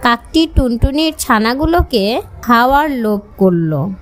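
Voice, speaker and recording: A woman's voice: a little high, sing-song, a little slow, faint music behind. A woman narrating scripted moral stories for children. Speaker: storyteller.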